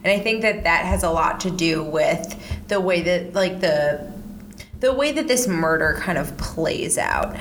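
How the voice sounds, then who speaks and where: A woman's voice: on the low side, lively intonation, unhurried, a bit bright. Woman podcast host, casual chatty storyteller, fillers, faint noise under her voice. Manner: conversational with frequent "like" fillers. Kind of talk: casual true-crime podcast chat.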